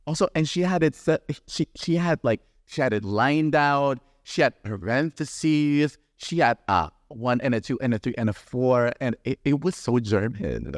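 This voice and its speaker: A man's voice: medium pitch, animated, normal speed, hardly any pauses, no room sound, studio quality. A man with a Swiss accent, co-hosting a casual chat that reviews a show, teasing his partner and acting out quotes. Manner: teasing, playful, theatrical quoting. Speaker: drag queen podcast co-host.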